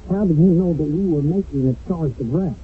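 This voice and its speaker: An actor playing an excitable, stubborn American soldier held captive in a radio drama, talking in an agitated, colloquial way. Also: male voice, fairly high pitch, brisk, breathy edge. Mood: agitated, suspicious. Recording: muffled narrowband old radio recording.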